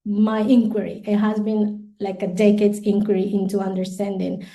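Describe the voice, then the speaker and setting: Reflective woman with a mellow voice. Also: mid-range voice, little pitch movement, normal speed, poor audio. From Colombia. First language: Spanish. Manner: thoughtful, many restarts and repeated words, light laughs. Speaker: guest, international educator. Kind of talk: conversational podcast interview.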